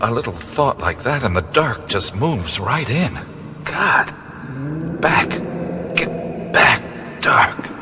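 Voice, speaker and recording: An actor playing a curious, wry male explorer who talks to himself in hushed half-whispers, in a studio radio drama. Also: medium pitch, very animated, takes it slowly, long pauses, heavy background noise. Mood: hushed, wary. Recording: studio radio play with music behind. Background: music.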